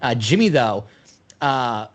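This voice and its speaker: A man's voice: medium pitch, very animated, slow, muffled recording. Young male podcast co-host, enthusiastic, rambling, mid-range voice. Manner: enthusiastic, rambling with frequent ums. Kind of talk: casual wrestling podcast chat. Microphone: podcast microphone on a live stream.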